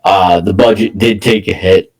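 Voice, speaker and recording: A man's voice: sits low, fairly even intonation, moderate pace, low-quality recording. Casual, hesitant man chatting about films. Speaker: podcast co-host. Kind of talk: casual film chat.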